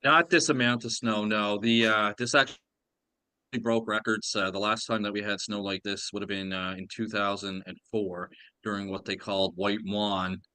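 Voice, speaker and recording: A man's voice: lowish voice, steady tone, moderate pace, pushed and bright, clean tone, dry recording. An older man, chatting casually as co-host over a remote call. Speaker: co-host, retired police officer. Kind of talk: casual chat.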